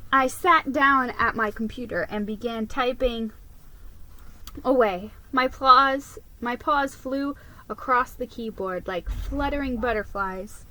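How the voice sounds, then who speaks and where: A woman giving an expressive, theatrical reading of a children's story. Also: sits high, rough recording. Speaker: storybook reader.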